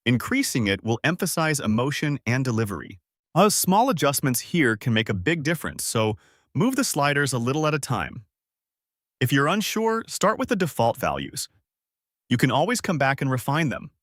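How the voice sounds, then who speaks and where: A man narrates a scripted step-by-step software tutorial in an orderly, explanatory, instructional way, as a close voice-over. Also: mid-range voice, very animated, normal speed, dry recording.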